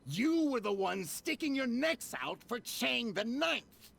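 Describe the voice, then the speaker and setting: A haughty, menacing merchant boss who speaks slowly, with a gravelly, husky edge. His pitch swings widely as he accuses and threatens. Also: lively intonation, a bit bright, exaggerated voice. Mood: accusing. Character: arrogant, menacing middle-aged male merchant boss.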